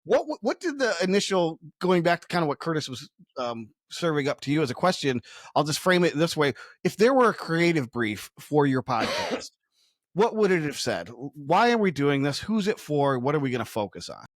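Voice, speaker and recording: A man's voice: on the high side, sing-song, normal speed, dry recording. A middle-aged man co-hosting a podcast, talking casually and making points with emphatic rhetorical questions. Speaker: podcast co-host, content marketing expert.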